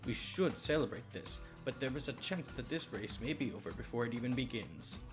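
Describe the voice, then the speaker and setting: Man playing a gravelly-voiced formal aide. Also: medium pitch, expressive, a little fast, over music, lightly acted. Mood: worried, reporting. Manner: formal, businesslike, reporting. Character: formal, dutiful male aide to a race promoter. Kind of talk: dramatic manga dub acting.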